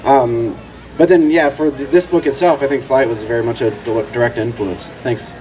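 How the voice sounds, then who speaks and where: A man's voice: medium pitch, crowd noise, low-quality recording. A man answering on a panel at an outdoor book fair, rambling and correcting himself as he goes. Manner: rapid, rambling, self-correcting. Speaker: comics editor, panelist.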